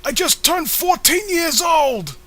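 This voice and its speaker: Bubbly, self-adoring teen girl, played by a man in falsetto; high, piercing, strained; comic dub. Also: lively intonation, takes it slowly, bright, forceful tone, full character voice. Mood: excited, perky. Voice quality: strained, unconvincing falsetto of an old-sounding man. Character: bubbly, excitable, boastful fourteen-year-old girl volunteer.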